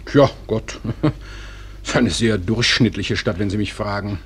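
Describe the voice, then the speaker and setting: An actor playing a dry, easygoing small-town newspaper publisher with a husky voice, speaking with small hesitations in a radio play. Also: male voice, on the low side, unhurried. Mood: wry, easygoing. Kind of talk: German radio play acting.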